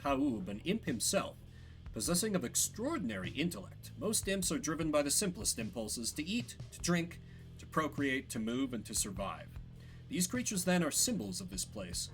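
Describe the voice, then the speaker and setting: A man's voice: medium pitch, fairly bright tone, low-quality recording. A man voicing an earnest, dignified chronicler in a fantasy game, lecturing formally in bookish phrases.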